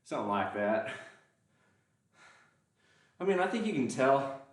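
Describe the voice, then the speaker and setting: A man's voice: medium pitch, measured pace, distant mic. Southern man in a very echoey gym. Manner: relaxed, rambling and self-deprecating, answering viewer comments between sets. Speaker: livestreaming lifter. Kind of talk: casual chat with chat viewers. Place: indoor gym. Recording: very echoey room, voice off-mic.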